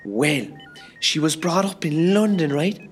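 A woman's voice: low voice, lively intonation, unhurried, faint music behind. A woman with an Irish accent playing a catty, gushing, star-struck hospital worker in a comedy radio play. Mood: catty, gossipy.

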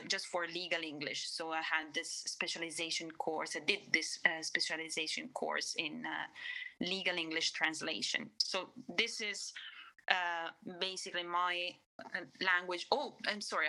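A woman's voice: on the low side, bright, forceful tone, rough recording. A woman from Italy with an Italian accent, speaking English as a second language while answering interview questions in a hesitant way with many fillers. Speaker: lawyer guest.

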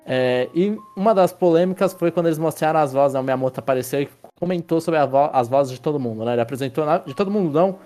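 A man's voice: fairly high pitch, fast talker, few pauses, warm tone, soft background music. A man hosting a casual film review podcast, rambling with restarts and tag questions as he leads the talk. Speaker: podcast host.

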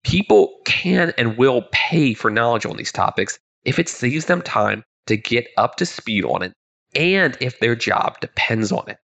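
A man's voice: mid-range voice, animated, a little slow, vocal fry, a bit bright, lo-fi sound. A man in his thirties hosting a podcast, talking upbeat and conversational, his voice a bit congested, nasal and crackly from being ill. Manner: upbeat, conversational, with frequent word repeats. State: congested, slightly nasal from illness. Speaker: podcast host. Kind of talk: solo podcast monologue.

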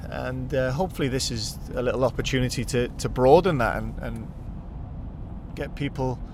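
An upbeat Welsh man, Welsh his first language, talks casually into the mic as he drives to his next interview. His voice sits at an ordinary middle pitch, and faint road noise hums under the talk. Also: normal speed, a little mellow. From Wales. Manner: chatty and upbeat. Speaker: podcast host. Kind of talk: casual spoken intro while driving. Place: in a car on the road.

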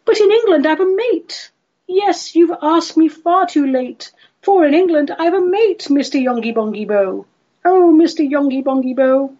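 A woman voicing a sorrowful, fretful married lady in a comic poetry recital, theatrical, plaintive and drawn-out. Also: high-pitched, animated, mellow, pitched above the performer's own voice. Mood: tearful regret. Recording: dull lo-fi recording.

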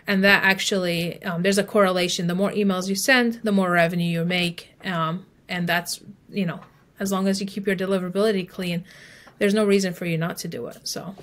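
A woman's voice: on the low side, fairly even intonation, a little fast, fairly bright tone. A woman speaking as an expert guest in a podcast interview, explaining advice conversationally. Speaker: email marketing expert guest.

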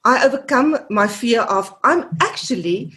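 A woman's voice: a little high, lively intonation, unhurried, fairly bright tone. A woman in her fifties from South Africa with a South African accent, Afrikaans as her first language, a therapist guest earnestly recounting her career in an interview. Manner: earnest, repeats words while searching for them. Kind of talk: reflective interview answers.

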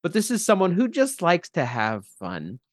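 A man chatting casually as a podcast guest, animated and thinking aloud as he goes. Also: high-pitched, normal speed, no echo, clean studio sound. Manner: animated, thinks aloud about character voices. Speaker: podcast guest, tabletop role-player. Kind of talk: casual chat.

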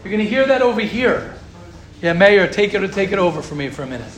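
A man teaching a group of young men, talking forcefully and repeating his points for emphasis. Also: high-pitched, very animated, fast talker. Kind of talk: emphatic religious talk to a group. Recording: very roomy echo with faint background hum.

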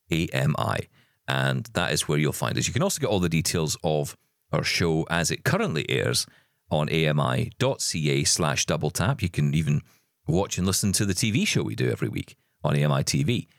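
A man's voice: extremely low pitch, no room sound, clean studio sound. A man co-hosting a podcast, chatting in an upbeat, rambling way. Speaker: podcast co-host. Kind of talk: casual podcast chat.

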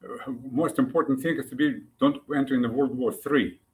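An older man from Ukraine with an Eastern European accent, answering interview questions reflectively and haltingly, with long pauses. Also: medium pitch, steady tone, low-quality recording. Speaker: interview guest, commentator.

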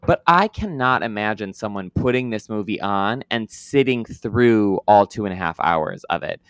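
A man's voice: on the low side, expressive, normal speed, fairly bright tone, clear, resonant tone, dry recording. A man co-hosting a podcast, giving a chatty, opinionated movie review full of fillers and restarts. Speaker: podcast co-host. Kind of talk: casual chat reviewing a movie.

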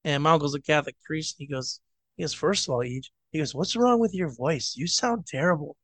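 Man with a rough flu voice. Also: fairly high pitch, fairly quick, fairly bright tone, dry recording. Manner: blunt and profane, tells stories. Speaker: podcast co-host. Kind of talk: casual sports chat.

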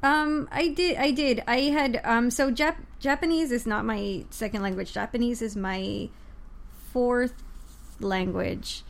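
A woman's voice: fairly high pitch, measured pace, vocal fry, a little hiss or hum. A woman from Miami chatting casually about learning languages, with many fillers and restarts. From United States (Miami). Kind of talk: casual chat.

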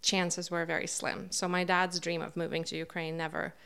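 Reflective woman from Kazakhstan, low voice. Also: level tone, normal speed, gravelly, fairly bright tone, clear, resonant tone. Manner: reflective, with frequent fillers. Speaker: interviewee, NGO founder. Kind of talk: personal recollection in an interview.

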